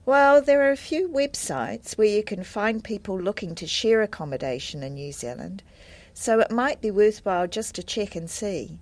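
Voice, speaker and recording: Helpful female friend giving measured advice in a scripted language-test dialogue, played by a woman; mid pitch, very dry recording. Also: lively intonation, dry recording, close to an everyday voice. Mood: helpful, calm. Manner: measured, clear, explanatory.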